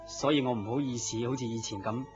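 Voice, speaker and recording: A man's voice: mid-range voice, steady tone, fast talker, lightly acted. Bashful, polite suitor over muffled music man acting. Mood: shy, teasing. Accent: Hong Kong Cantonese. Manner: deferential, hesitant. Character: polite, bashful man courting his boss's mistress. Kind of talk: Cantonese radio drama acting. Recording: muffled lo-fi radio drama, music behind.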